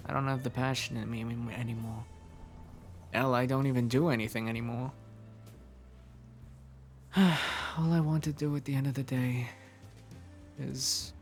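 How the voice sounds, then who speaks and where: Guy putting on a tired gruff tough guy, gravelly voice, blunt and jokey-menacing, faint music behind. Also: mid-range voice. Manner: world-weary, blunt, mock-threatening. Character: weary, gruff man, jaded and teasingly menacing. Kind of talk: audio roleplay.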